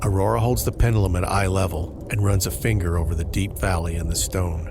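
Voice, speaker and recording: A man's voice: deep voice, fairly even intonation, fairly quick, background noise. A man reading scripted narration for a horror mystery audio drama.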